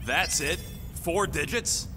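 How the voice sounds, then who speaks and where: A man voicing a small, sarcastic, scheming raccoon mercenary in a video game, gruff and streetwise. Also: on the high side, slow pace, slight background noise, heavily acted. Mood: irritated. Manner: sarcastic, brash, excitable. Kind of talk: video game character acting. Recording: loud music behind the voice.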